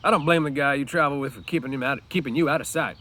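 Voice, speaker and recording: A man doing a sharp, bitter stable hand. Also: medium pitch, fast, voice pushed up, natural voice. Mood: scornful. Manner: sharp, complaining, defensive, folksy rural speech. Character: proud, aloof, bitter stable hand with crooked back. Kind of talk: storybook read-aloud character voice.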